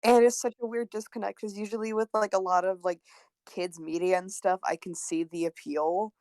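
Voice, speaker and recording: Excitable woman in her twenties, dry sound. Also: mid-range voice, normal speed, no echo. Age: 20s. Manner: expressive, excitable, swears freely. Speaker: podcast co-host. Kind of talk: casual chat.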